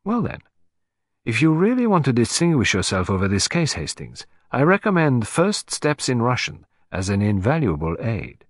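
A man's voice: medium pitch, huge pitch swings, moderate pace, breathy edge, no room sound. A man voicing a shrewd, courteous, playful little foreign detective in an audiobook mystery, precise and teasing. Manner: polite, precise, teasing, with French phrases.

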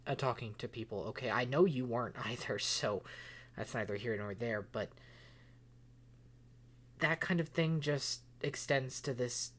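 A woman acting an exasperated, volatile character in an audio drama, pleading in halting, stammering, emphatic speech. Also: rumbling low, breathy edge, exaggerated voice. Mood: anxious, pleading.

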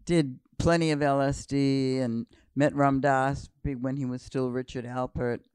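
Calm, reflective older woman in her seventies, smooth tone, dry studio sound. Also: extremely low pitch, measured pace, dry recording. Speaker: guest. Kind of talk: relaxed storytelling conversation.

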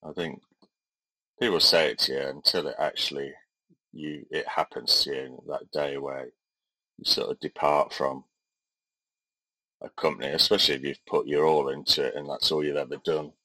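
A man's voice: rumbling low, fairly even intonation, moderate pace, long pauses, bright, forceful tone, no room sound, low-quality recording. A man co-hosting a podcast, chatting casually and hesitantly with many fillers. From Midlands of England. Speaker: podcast co-host. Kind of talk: casual chat.

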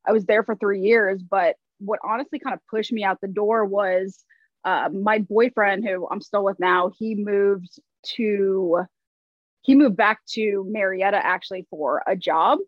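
A woman's voice: medium pitch, fairly even intonation, unhurried, dry recording, dull audio. A woman from Ohio speaking casually and conversationally as a guest in a podcast interview. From Ohio, United States.

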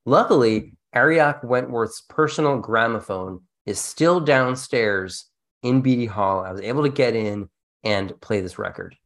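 A man's voice: mid-range voice, a little slow. A man hosting a podcast with playful, theatrical banter and storytelling. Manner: animated, theatrical. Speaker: podcast host.

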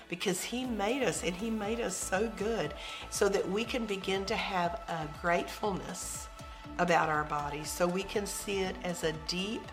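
Hoarse, lower-pitched woman, gentle measured devotional teacher, faint music bed.

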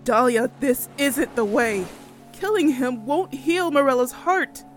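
A woman's voice: high voice, expressive, a little slow, soft background music. A woman playing an earnest, pleading siren, the voice of reason in an audio drama.

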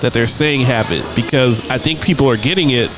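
A man's voice: medium pitch, a little fast. A man hosting a casual internet radio show, talking in a laid-back way and often repeating words as he thinks aloud. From United States. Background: music playing.

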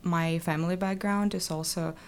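A young woman from Kazakhstan, a Russian speaker, talking thoughtfully as a podcast guest with many pauses. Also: fairly low pitch, fairly even intonation, a little hiss or hum. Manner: soft-spoken, thoughtful, frequent um. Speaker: guest, former international student. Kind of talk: podcast interview.

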